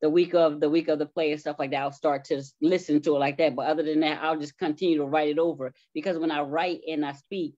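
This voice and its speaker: A woman's voice: low voice, fairly even intonation, fast talker, few pauses, mellow, no room sound. Dry, slightly dull audio of a woman being interviewed. She talks casually, walking through her explanation step by step in an everyday conversational voice. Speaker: stage play cast member, interview guest.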